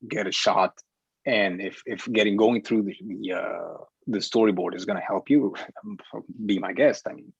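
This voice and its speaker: A man speaking as an instructor in a casual discussion, halting and often repeating words, on a muffled online call. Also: fairly low pitch, gravelly, breathy edge, no echo. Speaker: film instructor leading a class discussion. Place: online group video meeting.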